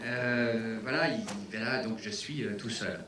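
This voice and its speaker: A man's voice: on the low side, unhurried. A man presenting a film premiere on stage, joking playfully with the audience. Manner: playful, lively, feigning surprise. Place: cinema theater in front of an audience. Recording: echoey cinema hall, distant mic, crowd.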